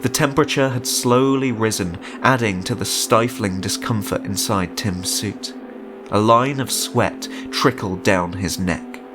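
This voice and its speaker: A man's voice: fairly low pitch, a little slow, poor audio. A man reading a scripted horror story as narrator, in a dramatic storytelling style. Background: music.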